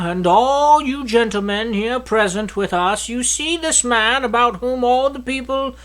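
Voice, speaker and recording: Male reader, thirties, notably high voice, solemn and declamatory scripture delivery. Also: a bit bright. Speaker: Bible reader. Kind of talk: solemn scripture reading aloud. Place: small indoor study with bookshelf. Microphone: microphone on a stand at a lectern.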